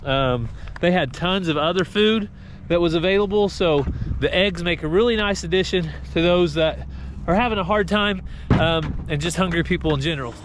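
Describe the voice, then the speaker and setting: Higher-pitched man vlogging in noisy surroundings. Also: normal speed. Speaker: family farm vlogger. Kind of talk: casual vlog chat. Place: outdoors on a farm. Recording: noisy handheld outdoor farm recording.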